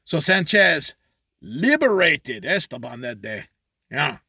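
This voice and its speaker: A man's voice: a little high, very animated, slow, sharp tone, slightly rough, no room sound, studio quality, exaggerated voice. A man doing a boastful hustler, Sanchez. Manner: crude, rambling, refers to himself in third person. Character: boastful male Mexican donkey handler Sanchez. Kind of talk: comedic character monologue.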